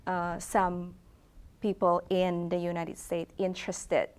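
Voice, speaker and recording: A woman's voice: fairly low pitch, flat delivery, slow, crowd noise. A middle-aged woman from Indonesia, a real estate agent answering interview questions in a hesitant way, full of fillers and restarts.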